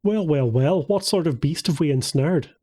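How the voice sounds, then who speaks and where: A man voicing a tall, scornful, pompous stranger in an audiobook, with a husky tone. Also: on the high side, animated, normal speed, dark tone. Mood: mocking. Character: tall, sneering, hostile, theatrical man in a bar.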